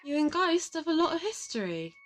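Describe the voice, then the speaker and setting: Female, slightly high voice, acting as a cocky, affectionate interrogator who teases her teammate in an audio roleplay. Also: very animated, brisk. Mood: gentle, knowing. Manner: teasing, affectionate, boastful. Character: teasing, confident woman interrogator in an assassin team. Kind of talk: audio roleplay addressed to the listener.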